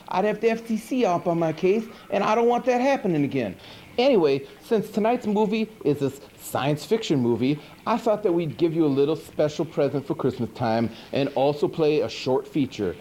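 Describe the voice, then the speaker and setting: A man playing a goofy, chatty, excitable monster who hosts a campy local TV horror movie show. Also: fairly high pitch. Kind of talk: 1980s local TV horror-host patter. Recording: noisy old VHS tape.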